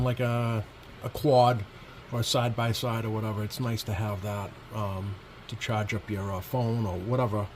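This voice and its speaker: A man talks through a product demo in an informal, unscripted way, with an average-pitched voice. He is a little hesitant, thinking aloud as he works the controls. Faint noise sits behind his voice. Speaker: product reviewer. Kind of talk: casual hands-on product demo. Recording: clear steady background noise.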